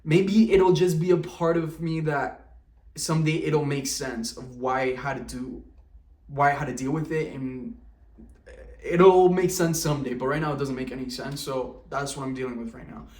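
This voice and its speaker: A man's voice: medium pitch, a little mellow. Candid young man, roomy and distant. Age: 20s. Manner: candid, halting, with many restarts and fillers. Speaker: vlogger. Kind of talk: confessional vlog. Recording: roomy, from the mic.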